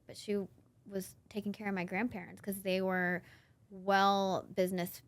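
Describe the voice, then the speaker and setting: A lively woman in her thirties, a guest on a podcast, who tells stories in a chatty, animated way and laughs often. Her voice sits at a middle pitch for a woman. She grew up in Northern Virginia. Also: measured pace. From Northern Virginia, USA. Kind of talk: casual interview chat.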